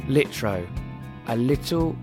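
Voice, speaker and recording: A man presents as a podcast host, reading scripted links in a mid-range voice. Plucked guitar music plays clearly behind him. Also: mid-range voice, expressive, slow pace.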